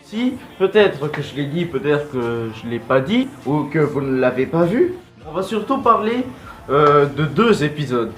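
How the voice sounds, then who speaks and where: A man's voice: a little high, big pitch swings, a little slow, soft background music. A male host giving casual, rambling and blunt fan commentary in an informal vlog. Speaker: video host.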